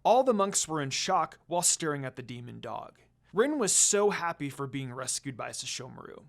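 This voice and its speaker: A dramatic narrator reading fan fiction aloud. The pitch sits in a middle range and moves up and down a great deal. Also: sing-song, moderate pace, gravelly.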